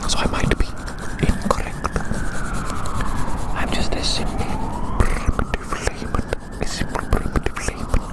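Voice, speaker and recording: An ASMR creator speaking in a soft, breathy, unvoiced whisper, slowly repeating phrases in a gently teasing ramble to the listener. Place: outdoors in a windy city park. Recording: close whisper, windy outdoor recording.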